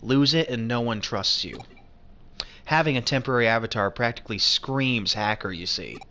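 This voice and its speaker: A man's voice: medium pitch, moderate pace, sharp tone. A man narrating as a subdued teenage boy in a game. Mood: glum.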